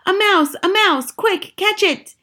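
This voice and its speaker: Woman as a rowdy, shouting male kitchen hand. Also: extremely high pitch, slow pace, voice pushed up, heavily acted. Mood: alarmed yelling. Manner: loud, barked shouting. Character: rowdy, jeering male kitchen staff chasing a mouse.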